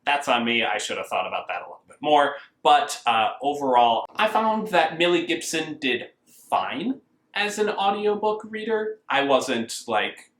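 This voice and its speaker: A man's voice: fairly high pitch, sing-song, a little slow, a bit bright. American man reviewing in an echoey room. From United States. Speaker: book reviewer. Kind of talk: casual review talk to camera. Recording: roomy, echoing indoor sound.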